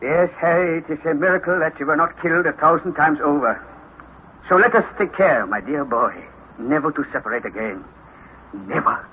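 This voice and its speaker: An actor playing an older professor uncle, fond and commanding, with emphatic paternal delivery in 1960s radio drama style. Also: male voice, a little high, normal speed, natural voice. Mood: tender, insistent. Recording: tinny, noisy vintage radio recording.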